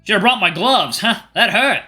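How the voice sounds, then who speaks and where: A tough old man with a folksy drawl, steady and unbothered, put on by a man reading a story. The voice sits noticeably higher than the reader's own and comes out bright and forceful. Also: unhurried, faint music behind, exaggerated voice. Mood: gruff. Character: tough old male fighter, gruff and steady.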